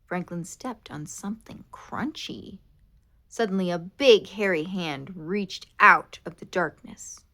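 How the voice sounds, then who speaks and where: A woman reading a children's picture book aloud in an expressive, animated way. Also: on the low side, slow pace. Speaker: storybook reader. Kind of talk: children's read-aloud narration.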